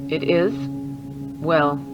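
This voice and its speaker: A man's voice: fairly high pitch, very slow, dull audio, music in the background. An actor playing a blunt, callous man in an audio drama. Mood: blunt, musing.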